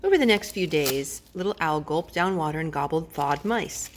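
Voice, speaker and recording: A woman's voice: low-pitched, expressive, normal speed, a little hiss or hum. A woman reading a children's storybook aloud as a storyteller, with dramatic, emphatic phrasing.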